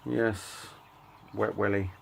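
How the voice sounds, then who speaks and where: A middle-aged man giving a casual show-and-tell of his collection, talking in a halting, offhand way and reading out titles in short bursts. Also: lowish voice, fairly even intonation, vocal fry, a little mellow, a little hiss or hum. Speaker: record collector and channel host.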